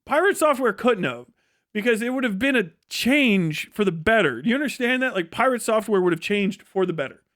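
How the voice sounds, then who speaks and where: Blunt American man, higher-pitched voice. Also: animated, a little fast, a bit bright, no room sound, studio quality. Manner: blunt, opinionated, sweary ranting. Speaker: livestream host. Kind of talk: casual, profane livestream commentary.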